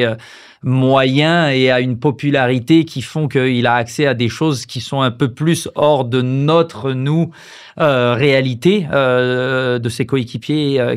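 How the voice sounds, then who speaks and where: A man in his thirties from France, a former footballer reflecting on his career in a casual podcast interview, pausing often with hesitations and restarts. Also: mid-range voice, fairly even intonation, smooth.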